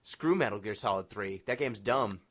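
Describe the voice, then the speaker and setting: A man's voice: fairly low pitch, moderate pace, a bit bright. A man hosting a podcast, chatting casually with his guests in an interview. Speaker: podcast host.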